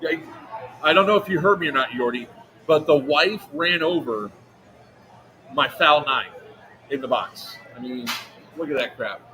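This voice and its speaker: A man's voice: a little high, unhurried, long pauses. A man hosting a casual livestream from an outdoor event, talking loosely and laughing easily. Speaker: host. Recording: clear background event noise.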